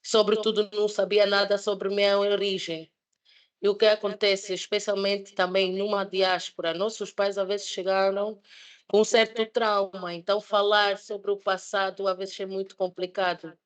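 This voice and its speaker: A woman's voice: mid-range voice, little pitch movement, normal speed. A woman in her thirties, not a native Portuguese speaker, presenting a project at a panel debate with many fillers and restarts. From Switzerland. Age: 30s.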